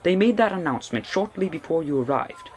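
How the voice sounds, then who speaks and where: A man playing a reassuring, helpful gentleman in an audio drama. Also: on the high side, lively intonation, a little fast, close to an everyday voice. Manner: reassuring, informative. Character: reassuring man waiting in line, addressing boy.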